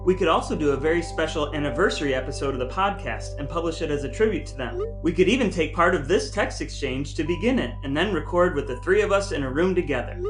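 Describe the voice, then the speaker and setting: A man's voice: fairly high pitch, lively intonation, fast talker, few pauses. A middle-aged American man hosting a podcast in a casual, conversational style. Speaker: podcast host. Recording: roomy sound with music.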